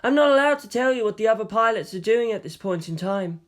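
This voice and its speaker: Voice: medium pitch, expressive, fast talker, voice pushed up, close to an everyday voice. A narrator voicing a mild, geeky young male lieutenant who is reluctant and slightly curt, in an audiobook story. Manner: soft-hearted, slightly curt.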